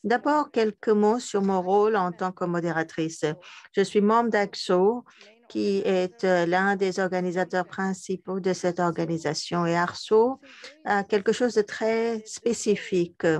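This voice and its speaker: Slow, deliberate Argentine woman moderating. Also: mid-range voice, darkish tone. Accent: Argentine Spanish. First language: Spanish. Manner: deliberate, hesitant delivery with frequent pauses. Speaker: conference moderator. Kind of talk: moderating an online conference session.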